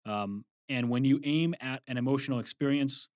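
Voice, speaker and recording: Relaxed young man, chatty and rambling. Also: mid-range voice, moderate pace, clean tone, dry recording, clean studio sound. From Brazil. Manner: relaxed, rambling with restarts and asides. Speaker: guest, film composer. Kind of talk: casual interview chat.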